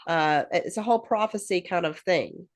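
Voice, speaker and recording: Woman on a podcast mic, kinda low voice, chatty and rambly, lots of ums, laughs a lot. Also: normal speed. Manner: rambling, many fillers and restarts; laughs easily. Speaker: podcast co-host. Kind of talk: casual chat.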